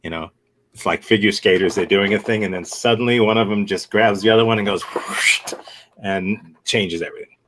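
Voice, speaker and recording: A low-voiced man runs an improv class, talking through a scene in a loose, rambling way. He corrects himself often and stays upbeat as he explains the next exercise. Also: steady tone, fairly quick, a bit bright, a little hiss or hum, poor audio. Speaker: improv workshop teacher. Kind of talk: casual workshop feedback and instruction.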